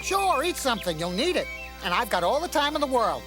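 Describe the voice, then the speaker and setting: Cocky, high-pitched taunting cartoon hare. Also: female voice, sing-song, fairly quick. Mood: smug. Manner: mocking, smug teasing. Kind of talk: animated cartoon voice acting. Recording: music behind the voice.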